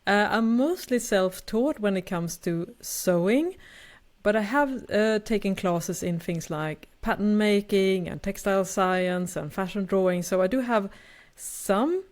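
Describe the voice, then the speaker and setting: A woman's voice: medium pitch, unhurried, a little mellow. A Swedish woman in her fifties with a Swedish accent, co-hosting a podcast in a chatty, self-deprecating way with frequent laughs. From Sweden. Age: 50s. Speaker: podcast co-host. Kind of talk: casual podcast chat.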